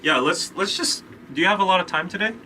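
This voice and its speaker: A man hosting a podcast in casual, relaxed chat, teasing his guest and laughing easily. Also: high-pitched, quick pace. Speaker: podcast host. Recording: distant mic, faint background noise.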